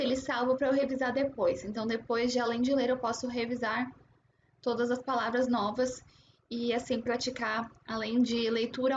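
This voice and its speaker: A woman's voice: on the high side, dull audio, slight background noise. A young adult woman, casually explaining her study apps step by step as host. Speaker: language-learning host. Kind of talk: casual screen-share tutorial.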